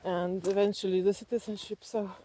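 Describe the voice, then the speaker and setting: A woman from Slovenia with a Slovenian accent, telling personal stories reflectively in conversation and haltingly thinking aloud as an interview guest. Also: medium pitch, flat delivery, dark tone, a little hiss or hum, poor audio.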